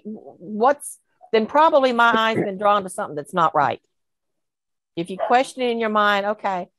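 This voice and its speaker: A woman's voice: fairly high pitch, dry recording. A woman on a video call panel, talking casually and thinking aloud with rhetorical questions about dog breeding.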